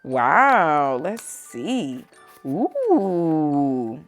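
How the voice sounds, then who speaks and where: Woman, storybook read-aloud host. Slow, lowish voice, simple child-directed asides, music clearly behind. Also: huge pitch swings. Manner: slow, simple phrasing with questions aimed at a young child. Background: background music.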